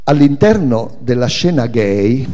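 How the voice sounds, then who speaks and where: A man reads a memoir aloud in a lively, widely varied intonation. His voice is mellow and medium-pitched, with theatrical, measured phrasing and deliberate pauses. Long hall reverberation surrounds every phrase. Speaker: reader at a literary reading event. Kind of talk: expressive literary reading of a memoir.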